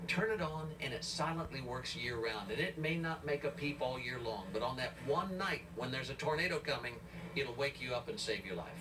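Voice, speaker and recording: A man's voice: mid-range voice, lively intonation, fairly quick. A middle-aged man explaining a product as a guest in a live TV interview. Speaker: company representative, interview guest. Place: outdoors in a store parking lot. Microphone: reporter's handheld mic, heard off a filmed TV screen. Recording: distant TV speaker re-recorded, clear noise.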